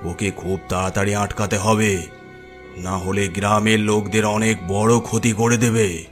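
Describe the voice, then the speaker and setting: A grave village holy man and exorcist, played by a man, with a low voice. He gives solemn, authoritative instruction in a horror cartoon, over faint background music. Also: fairly even intonation, a little slow, natural voice. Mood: grave warning. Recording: music behind the voice.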